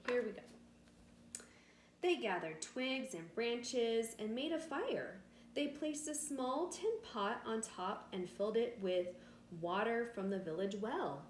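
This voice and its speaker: A woman's voice: a little high, animated, slow pace. A woman reading a storybook aloud expressively to children, with simple explanations, like a teacher. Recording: roomy echo with faint background noise.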